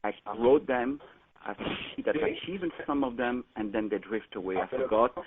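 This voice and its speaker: A man with a French accent calling in to a teleconference, speaking earnestly and gratefully, a little halting. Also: medium pitch. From France. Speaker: caller. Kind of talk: phone-in conversation. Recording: phone line with faint noise.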